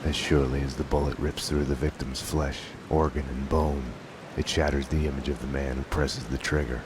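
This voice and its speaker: Extremely deep brooding noir detective. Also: male voice, little pitch movement, noisy background. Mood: brooding, fatalistic. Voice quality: very deep, low-voiced. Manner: grim, deliberate, fatalistic. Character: brooding, world-weary male noir detective narrating his downfall. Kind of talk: scripted noir narration. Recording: loud music behind the voice. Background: loud game soundtrack music.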